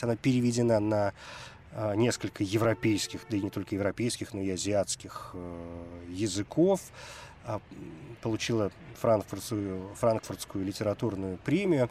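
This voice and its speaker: A man presenting on the radio, telling stories and talking about literature in an expressive way. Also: fairly low pitch, a little hiss or hum. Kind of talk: expressive storytelling and literary talk.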